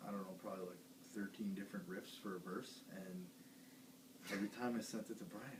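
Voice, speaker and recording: A man's voice: on the low side, steady tone, fairly quick. A man who plays guitar in a band, chatting casually in a rambling, hesitant way. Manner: rambling, hesitant, lots of fillers and restarts. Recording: echoey room, distant mic, faint hiss.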